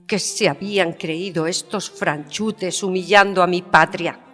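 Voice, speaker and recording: A woman's voice: fairly low pitch, steady tone, unhurried, fairly bright tone. An actress playing a proud, bitter, passionate queen, speaking in an emphatic, theatrical manner in an audio drama. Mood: indignant, haughty. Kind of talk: dramatised historical interview, audio fiction. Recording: long reverberant hall sound. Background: violin music.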